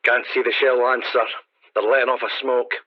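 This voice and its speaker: A man's voice: mid-range voice, flat delivery, fairly quick, lightly acted. A man playing a dutiful, anxious artillery observer in a radio play, reporting tersely to his officer. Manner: terse, deferential military reporting. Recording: dull radio-style sound.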